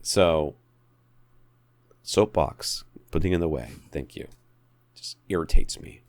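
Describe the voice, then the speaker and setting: A man's voice: very deep voice, animated, slow pace, darkish tone, no echo, studio quality. A man co-hosting a podcast, giving opinionated tech advice in a blunt, exasperated, emphatic way that repeats points for effect. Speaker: podcast co-host.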